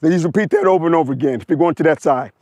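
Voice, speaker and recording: A man with a hoarse voice, a motorcycle instructor coaching a slow-speed riding exercise step by step, repeating short cues, recorded outdoors on a wireless mic. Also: fairly high pitch, darkish tone, no room sound.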